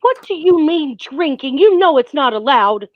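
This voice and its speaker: An actress playing a brisk, flustered woman in charge of a parade in a radio play. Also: sits high, lively intonation, phone-quality sound. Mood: flustered, bossy. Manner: bossy, flustered, pleading. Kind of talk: 1940s-style radio play acting.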